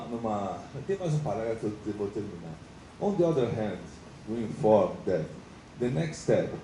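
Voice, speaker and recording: A man with a Brazilian Portuguese accent chairing a creditors' meeting, speaking in measured, hedging formal remarks and often checking in with "tá?". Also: fairly low pitch, mellow. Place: meeting room. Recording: roomy, distant mic, noisy.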